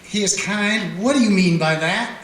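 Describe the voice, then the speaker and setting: A man's voice: high-pitched, measured pace, fairly bright tone, slight background noise. A man playing a folksy small-town frontier sheriff who narrates in a drawling, plainspoken way. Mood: scoffing. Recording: roomy, echoey room.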